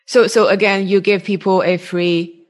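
Halting female podcast interviewer. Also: mid-range voice, steady tone. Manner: halting, repeats words and phrases. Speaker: interviewer, host.

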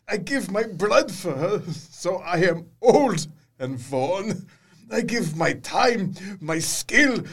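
An actor playing an old, eccentric, passionate foreign doctor in an audio drama, emphatic and given to repeating words. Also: male voice, high-pitched, exaggerated voice.

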